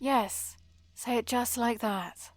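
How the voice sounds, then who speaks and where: A woman's voice: fairly high pitch, steady tone, slow pace, a little hiss or hum. A woman playing a prim, bossy and exasperated vampire librarian in a scripted ASMR roleplay, scolding in a fussy, theatrical way. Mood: urgent, exasperated.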